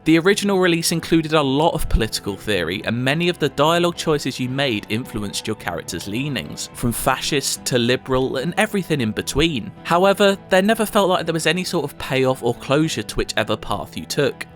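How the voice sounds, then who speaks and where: A man with a mid-range voice narrates a scripted game review. He speaks as the reviewer, with soft music underneath. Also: medium pitch, very animated, a little fast, hardly any pauses.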